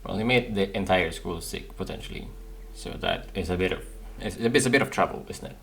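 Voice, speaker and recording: A man's voice: deep voice, fairly quick, gravelly, fairly bright tone. A man hosting a casual gaming playthrough, musing aloud and often repeating phrases. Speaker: gaming video host.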